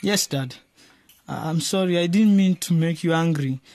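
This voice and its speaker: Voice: mid-range voice, normal speed, darkish tone, no echo, natural voice. An actor playing an eager, respectful fourteen-year-old boy in a radio drama, speaking earnestly. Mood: apologetic, pleading. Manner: earnest, pleading.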